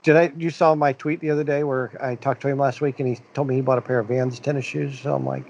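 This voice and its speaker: A man's voice: medium pitch, little pitch movement, quick pace, creaky, darkish tone. A man co-hosting a casual podcast, chatting and telling long, loose stories. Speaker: podcast co-host. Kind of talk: casual chat. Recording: dull lo-fi mic.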